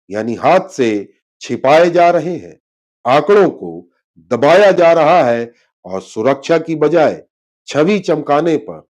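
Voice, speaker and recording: Male news narrator, high voice, widely swooping intonation, emphatic, investigative report delivery. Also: darkish tone. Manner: dramatic, emphatic, with rhetorical questions. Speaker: news presenter.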